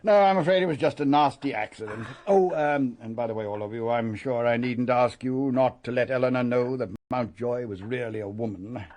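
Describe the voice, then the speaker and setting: A man's voice: medium pitch, brisk, hardly any pauses, darkish tone, natural voice. An actor playing a middle-aged, blustering and sceptical British father, master of the house in a period radio drama. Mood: firm, sober. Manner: gruff, dismissive, blustery.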